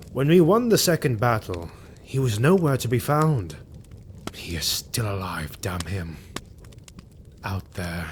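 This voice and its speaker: Man acting a slow-speaking, grim army officer. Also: mid-range voice, a little hiss or hum, lightly acted. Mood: grave, wary, then ominous, bitter. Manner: solemn, formal, deliberate, emphatic delivery. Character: grim, vengeful male Imperial army officer recounting war. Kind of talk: dramatic fantasy audio drama monologue.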